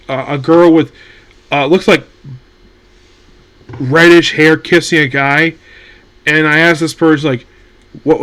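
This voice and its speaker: A man's voice: a little high, a bit bright. A man hosting a casual solo anime news talk, rambling with many fillers and restarts.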